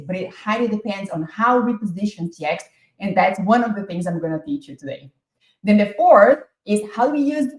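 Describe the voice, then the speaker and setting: A woman in her forties from Brazil with a Brazilian accent, presenting an online masterclass in an energetic, talkative way. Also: medium pitch. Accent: Brazilian Portuguese. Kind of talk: webinar presentation. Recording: echoey room.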